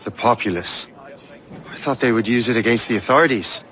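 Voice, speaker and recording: An actor playing a conflicted, earnest young renegade assassin in a game cutscene, with an Irish accent. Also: male voice, medium pitch, fairly quick, lightly acted. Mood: troubled, questioning. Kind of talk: dramatic video game cutscene acting. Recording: loud cinematic game music behind.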